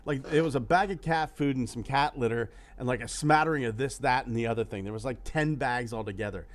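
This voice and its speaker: A middle-aged man hosting a podcast, grumbling about prices with profane, animated storytelling. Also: mid-range voice, fast. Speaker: podcast host. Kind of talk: casual podcast chat.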